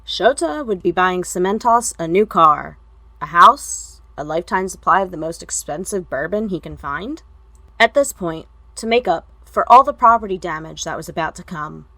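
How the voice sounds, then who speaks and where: A woman's voice: lowish voice, animated, normal speed, pushed and bright, dry recording, low-quality recording. Wry woman narrating fiction, dry recording. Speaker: amateur podfic narrator.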